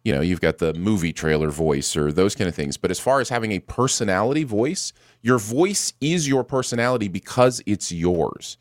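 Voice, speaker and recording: A man's voice: lowish voice, animated, normal speed, few pauses, dry recording, clean studio sound. A man co-hosting a podcast and live stream who rambles a little, repeating words and using many fillers. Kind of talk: casual chat.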